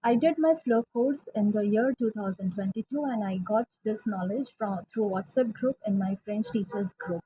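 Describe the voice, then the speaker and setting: Mellow-voiced woman giving a testimonial. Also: medium pitch, moderate pace, few pauses, a little hiss or hum, poor audio. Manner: earnest, with frequent fillers. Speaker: college French teacher. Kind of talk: recorded testimonial.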